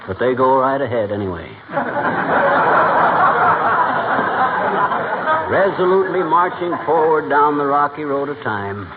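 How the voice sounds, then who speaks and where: An actor playing a blustering, boastful, wisecracking husband with folksy slang, in old radio comedy style. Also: male voice, on the high side, huge pitch swings, takes it slowly, pauses often, a loud crowd, exaggerated voice. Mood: patient, exasperated. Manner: folksy, colorful mock insults and slangy exclamations. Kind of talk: 1940s radio comedy acting. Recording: tinny, noisy old radio recording.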